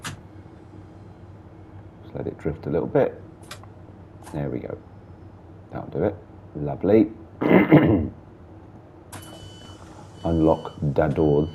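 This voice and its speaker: Very deep gravelly man. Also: big pitch swings, takes it slowly, long pauses, dull audio, slight background noise. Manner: chatty, muttering through cab controls. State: sore throat, blocked nose. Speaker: gameplay streamer. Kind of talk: casual train sim commentary.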